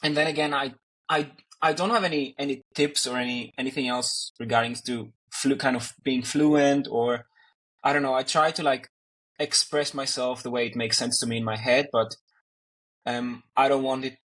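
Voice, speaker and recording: A man's voice: medium pitch, steady tone, normal speed, fairly bright tone, rough recording. A man from Greece, a native Greek speaker, chatting as an interview guest and talking with many fillers and restarts. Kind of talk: casual conversation.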